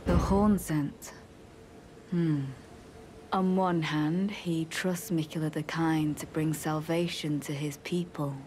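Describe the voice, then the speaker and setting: An actress playing a solemn, devout female knight in a video game, with measured, formal, archaic phrasing and a courteous but coldly zealous manner. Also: low voice, steady tone, a little mellow, slight background noise. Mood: pensive. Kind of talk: scripted video game dialogue.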